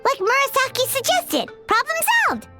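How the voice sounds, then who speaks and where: A woman voicing an excitable, childlike floating fairy girl with a squeaky voice in an anime video game. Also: normal speed, over music. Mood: upbeat. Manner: exclaims, refers to herself in third person.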